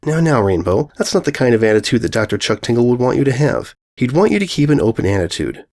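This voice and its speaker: A man's voice: mid-range voice, sing-song, fast talker, a little mellow. Give the fan fiction a man playing a young unicorn princess from a cartoon. She is bookish and polite, with a prim, slightly hesitant way of talking. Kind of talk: fan fiction story reading.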